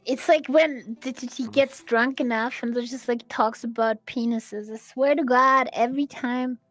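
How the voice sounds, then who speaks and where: A woman guest on a drinking stream, joking in quick teasing banter. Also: a little high, fairly even intonation, a bit bright, faint music behind. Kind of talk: drunk casual chat.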